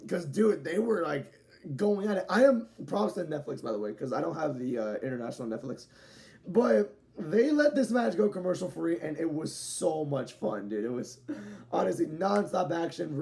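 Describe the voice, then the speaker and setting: Gravelly, chatty young man reviewing. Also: on the high side, dark tone. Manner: chatty, rambling, with restarts, laughs and swearing. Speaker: wrestling show reviewer. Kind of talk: casual spoken review to camera. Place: home room with dogs nearby.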